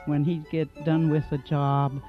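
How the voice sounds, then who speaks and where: An older man from Germany, a native German speaker, recalling his past in an oral history interview, unhurried and full of fillers. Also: a little high, little pitch movement. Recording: dull lo-fi recording with music underneath.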